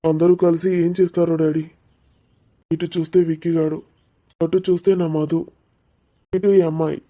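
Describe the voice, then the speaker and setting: A man's voice: fairly high pitch, level tone, normal speed, mellow, lightly acted. A man playing a troubled, lovesick young son in a cartoon family drama. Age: young adult. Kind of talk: animated cartoon dialogue.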